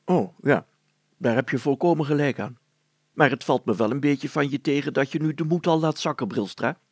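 A man voicing a pompous, fussy older village mayor in a storybook, formal, deliberate and full of hesitant hemming. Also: medium pitch, slightly rough, dry recording. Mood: stately, determined.

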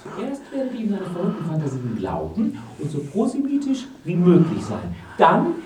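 An actress playing a gleefully malicious ringleader in a German radio drama, theatrical, commanding and falsely sweet. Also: female voice, on the low side, wildly swooping pitch, normal speed, warm tone, echoey room, far from the mic, slight background noise. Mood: malicious glee.

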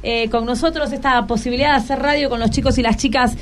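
A woman's voice: a little high, fairly even intonation, fast, slight background noise. A woman with a Buenos Aires accent, hosting a casual radio interview with children in a conversational, question-prompting way. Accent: Argentine, Buenos Aires. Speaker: radio host.

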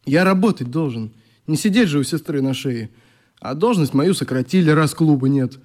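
A young youth leader in a radio drama. His voice is a little high for a man. He sounds conscientious, troubled and worn down. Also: lively intonation, normal speed, darkish tone. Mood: downcast, frustrated. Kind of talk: radio play acting.

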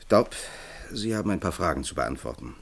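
An actor playing a brusque computer-centre boss in a German science-fiction radio drama, curt and commanding. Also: male voice, deep voice, vocal fry, slight background noise, exaggerated voice.

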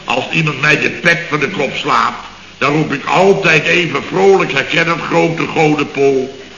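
A man's voice: fairly high pitch, a little slow, bright, forceful tone. An actor playing a blustering, exasperated boss of a building firm, who rants and mockingly mimics his staff in a 1960s radio comedy. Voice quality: sarcastic, ranting, mimics others mockingly. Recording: roomy dull old radio recording with faint noise.

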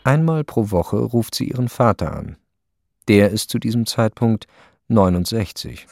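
A man narrating a radio play as a detached, precise storyteller, matter-of-fact and deadpan. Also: on the low side, a little slow, vocal fry, dry recording, heavily acted.

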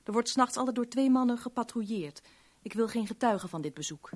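An actress playing a proud, protective young heiress in a Dutch radio play, speaking in a reserved, formal and defensive manner. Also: female voice, a little high, animated, fast talker, darkish tone. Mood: defiant, tense. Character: guarded, protective, proud young stepdaughter of a millionaire.

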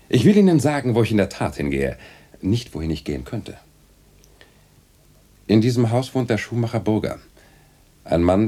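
An actor playing a wry, restless male caricaturist in a radio drama, husky and theatrical, with formal old-fashioned address. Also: sits low, mellow, full character voice. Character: wry, restless caricaturist bidding his neighbour farewell. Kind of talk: literary radio drama acting.

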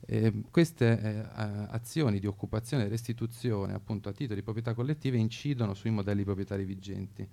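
A man's voice: fairly low pitch, a little fast, vocal fry, few pauses, warm tone. A man explaining political ideas on radio in a hesitant, intellectual, searching way. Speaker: radio show speaker.

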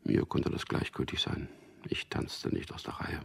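An actor in a German radio drama playing a suave, ironic, detached charmer who also narrates in the first person. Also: male voice, moderate pace, a bit bright, lightly acted. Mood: detached narrating. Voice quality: gravelly, very deep. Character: sardonic charming ad copywriter and womanizer, cool, detached narrator.

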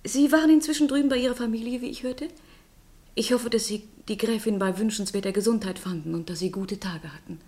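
A woman's voice: on the high side, brisk, warm tone, close to an everyday voice. An actress playing a haughty, flirtatious noblewoman in a radio play, speaking in an eloquent, mocking way. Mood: coolly polite. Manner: mocking, eloquent, formally polished. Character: haughty, witty, flirtatious noblewoman rebuking her married lover. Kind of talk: German literary radio play acting.

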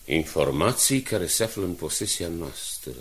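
An actor playing a proud, embattled older newspaper director in a radio drama, formal, commanding and dramatic. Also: male voice, low-pitched, sing-song. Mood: troubled.

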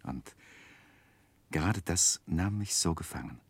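A male narrator with a deep voice tells a literary story in a 1960s radio play. His delivery is measured and expressive. The recording is dry. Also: gravelly, husky, no room sound.

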